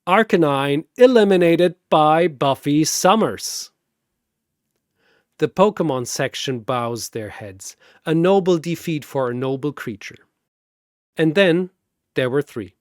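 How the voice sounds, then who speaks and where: A man narrating a scripted battle story in a theatrical, deliberate way, like a dramatic commentator. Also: on the high side, dry recording, clean studio sound.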